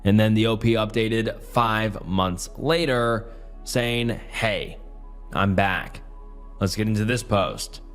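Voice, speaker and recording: A man's voice: fairly low pitch, slow, clean tone, soft background music. Strained-voiced man narrating Reddit posts. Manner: casual, chatty delivery with fillers. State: strained, partly losing his voice. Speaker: YouTube story narrator. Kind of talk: reading Reddit posts aloud.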